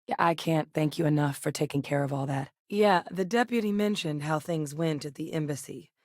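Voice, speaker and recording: An actress playing a serious, driven military scientist in a sci-fi video game, speaking in a measured, earnest, dryly wry manner. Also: female voice, deep voice, a little mellow, no room sound, studio quality, close to an everyday voice. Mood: businesslike, dry. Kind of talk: acted sci-fi game dialogue.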